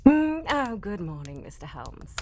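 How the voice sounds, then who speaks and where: A woman playing a nervous, desperate young lady in an old-time radio play, halting and formal in her speech. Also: mid-range voice, sing-song, darkish tone. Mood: fearful. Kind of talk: cold-read live old-time radio drama acting.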